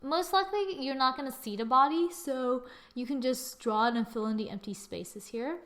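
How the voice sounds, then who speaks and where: A young woman with a slightly high voice talks through a design tutorial at her computer. She narrates every click and step in a chatty, casual way, speaking into a desktop mic. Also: moderate pace, a bit bright. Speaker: tutorial host. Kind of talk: screen-recorded software tutorial.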